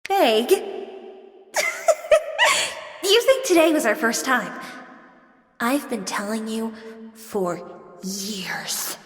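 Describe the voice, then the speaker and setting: Casting: girlish game AI villain, mocking and theatrical, very animated delivery in an echoing space. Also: a little high, extremely slow, pauses often. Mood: scornful, bitter. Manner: taunting, theatrical. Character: vengeful, mocking girlish female AI companion. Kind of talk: dramatic video game voice acting. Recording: very echoey, long reverb.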